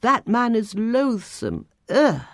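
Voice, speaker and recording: A woman's voice: a little high, big pitch swings, slow, no room sound, clean studio sound, pitched above the performer's own voice. A woman voicing an anguished, overwhelmed male scholar in a storybook reading, with formal, agitated declamation. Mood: alarmed, disgusted. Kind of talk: audiobook storytelling.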